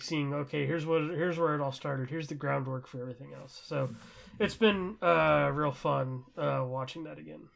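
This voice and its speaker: Gravelly, higher-voiced man chatting casually. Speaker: podcast co-host. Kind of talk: casual chat about shows and anime.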